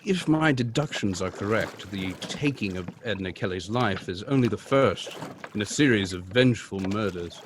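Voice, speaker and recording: An actor playing a suave, thoughtful gentleman detective in an old-time radio drama, speaking in a measured, deliberate way. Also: on the low side, lively intonation, close to an everyday voice. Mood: brooding deduction. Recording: live stream with clear background noise.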